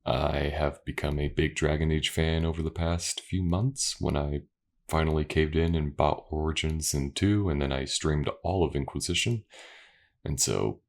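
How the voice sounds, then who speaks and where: A man with a very deep voice talks as the host of his own channel. His delivery is casual and conversational, addressed straight to listeners. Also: moderate pace, creaky. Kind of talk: casual outro talk to listeners.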